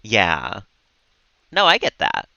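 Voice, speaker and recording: A man chatting casually and calmly as he streams a video game, rambling a little as he plays. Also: fairly low pitch, slow pace, no echo, muffled recording. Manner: calm, relaxed, rambling. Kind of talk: casual chat while gaming.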